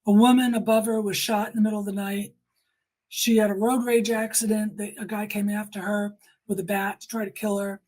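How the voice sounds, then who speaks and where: Earnest middle-aged woman, slightly husky. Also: mid-range voice, flat delivery, brisk, a little mellow, rough recording. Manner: earnest, emphatic storytelling with acted-out quotes. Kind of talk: personal testimony in conversation.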